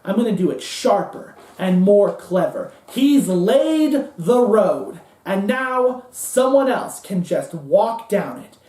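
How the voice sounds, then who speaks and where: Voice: mid-range voice, very animated, slow pace, few pauses, warm tone. A performer doing a bitter, ranting power broker, echoey. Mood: gloating, menacing. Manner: ranting, emphatic, repetitive, profane. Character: bitter, gloating, foul-mouthed dead American power broker. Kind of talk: theatrical monologue. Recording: roomy echo, distant mic.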